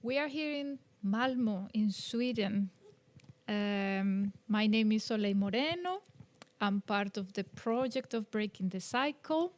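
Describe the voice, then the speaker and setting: A woman from Colombia, hosting a casual bilingual podcast chat and filling pauses with "um" and "uh". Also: mid-range voice. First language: Spanish. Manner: relaxed, chatty, with many fillers. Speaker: podcast host.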